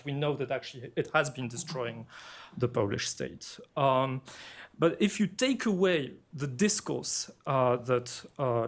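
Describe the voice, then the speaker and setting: Man with a French-tinged Belgian accent. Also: mid-range voice. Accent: French-influenced, Belgian. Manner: measured and deliberate, with frequent filler pauses. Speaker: political scientist on a panel. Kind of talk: academic panel presentation.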